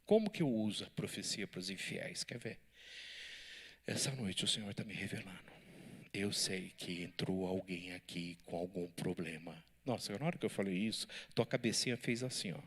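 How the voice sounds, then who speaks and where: A man, a pastor, teaching a Bible study in church with animated, lively delivery and banter with his listeners. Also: deep voice, normal speed, husky.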